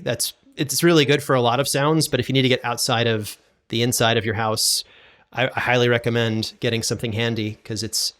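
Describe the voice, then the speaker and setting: Man explaining casually, mid-pitched. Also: a bit bright. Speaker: podcast guest, audio drama producer and sound designer.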